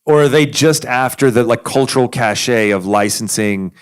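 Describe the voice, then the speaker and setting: A man's voice: medium pitch, moderate pace, smooth. A man moderates a panel at a conference. He talks loosely and thinks aloud, with plenty of fillers. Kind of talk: panel discussion.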